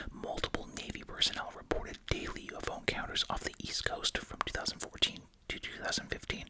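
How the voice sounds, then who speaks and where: An ASMR host speaking in a breathy, unvoiced whisper, reading aloud and musing casually. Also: low-quality recording.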